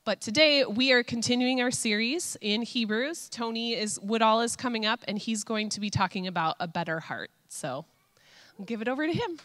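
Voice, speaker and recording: A woman's voice: on the high side, normal speed, a bit bright. A woman in her thirties introducing the next speaker on a church stage with a handheld mic, chatty and informal. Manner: chatty, informal, lots of "like" and fillers. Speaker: worship leader introducing the speaker. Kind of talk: casual church announcement. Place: church stage, large hall. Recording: long reverb of a large hall.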